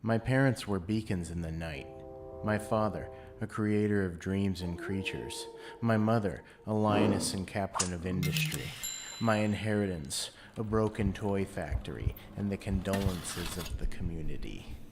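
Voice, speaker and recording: A man's voice: low-pitched, normal speed, over music. A man giving dramatic, brooding first-person scripted narration as the narrator of an audio play.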